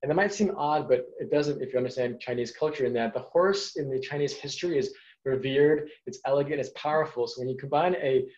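A man's voice: mid-range voice, fairly quick, darkish tone. A man from New York hosting a podcast interview, asking enthusiastic, rambling questions. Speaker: podcast host. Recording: dull lo-fi sound.

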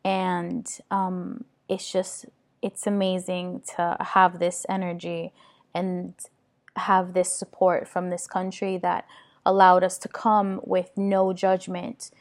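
A woman's voice: fairly low pitch, monotone, slow pace. A woman from Venezuela, interviewing in an earnest, emotional way with long winding questions. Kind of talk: conversational interview.

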